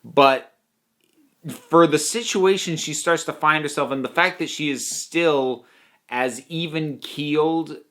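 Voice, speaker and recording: A man's voice: on the high side, takes it slowly, pushed and bright. A man delivering a conversational, opinionated review monologue, thinking aloud with emphatic points. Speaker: reviewer of audio dramas.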